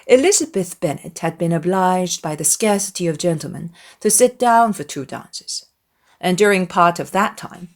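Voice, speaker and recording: A woman narrates a classic novel as a dramatized audiobook. Her voice is a little low, and she reads in a literary storytelling style. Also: lively intonation, normal speed.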